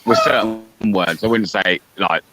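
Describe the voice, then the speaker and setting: Laid-back, lower-voiced British man. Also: unhurried, pushed and bright, rough recording. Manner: jokey, laid-back, says bro and mate. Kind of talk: casual chat.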